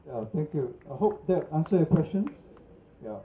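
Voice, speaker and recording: A man's voice: on the high side, expressive, moderate pace, narrow, tinny audio, slight background noise. A man moderating a press conference, polite and brief.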